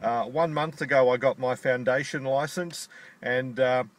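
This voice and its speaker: A man's voice: medium pitch, fairly even intonation, measured pace. An Australian man making an amateur radio contact from his car in a park, talking in radio procedure with call signs and "over", friendly and delighted.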